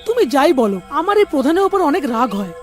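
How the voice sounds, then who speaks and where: A man voicing an anxious, excitable young village man in a cartoon dub. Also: very high voice, a little fast, soft background music. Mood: worried. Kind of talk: cartoon dubbing.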